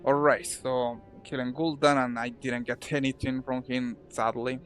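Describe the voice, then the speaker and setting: A Colombian man whose first language is Spanish, streaming a game and chatting casually, halting and restarting often. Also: mid-range voice, little pitch movement, fairly bright tone, soft background music. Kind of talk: casual gameplay commentary.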